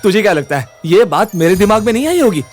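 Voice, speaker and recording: A hot-headed young male student from an audio story, performed by a man. He is righteous and indignant, swearing in a high voice over faint music. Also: expressive, quick pace.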